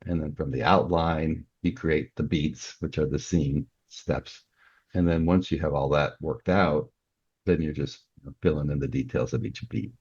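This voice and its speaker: An older man with an extremely low voice that has a husky edge. He speaks as an interview guest, explaining things in a conversational way. The audio is somewhat dull and lo-fi. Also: moderate pace, darkish tone. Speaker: podcast guest, novelist. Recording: dull, band-limited audio.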